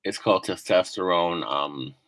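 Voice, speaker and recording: A man's voice: low voice, normal speed, fairly bright tone, no room sound. A young man hosting a casual stream chat, asking questions with many fillers and restarts. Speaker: streamer host. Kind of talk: casual chat, interviewing a guest.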